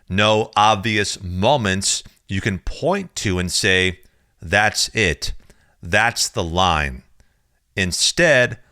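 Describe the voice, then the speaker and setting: A man reading a chapter of his own book aloud in a slow, measured, deliberate way, recorded close on a studio mic. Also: low voice, sharp tone, dry recording. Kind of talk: audiobook reading.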